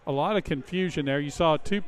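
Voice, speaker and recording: An American man giving conversational, halting color analysis at a live high school football game in a stadium. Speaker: color commentator. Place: outdoor stadium.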